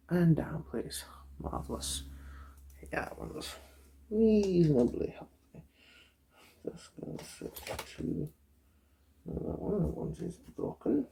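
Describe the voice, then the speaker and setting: A person whispering softly in an ASMR eye doctor roleplay, giving gentle, polite, reassuring instructions in a breathy voice. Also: mid-range voice, big pitch swings, takes it slowly, long pauses, slight background noise.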